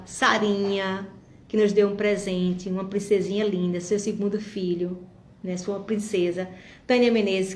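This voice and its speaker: A woman's voice: medium pitch, reverberant. Encouraging woman in her fifties. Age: 50s. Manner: warm, encouraging, reflective, addresses viewers directly. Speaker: vlogger. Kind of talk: motivational monologue to viewers.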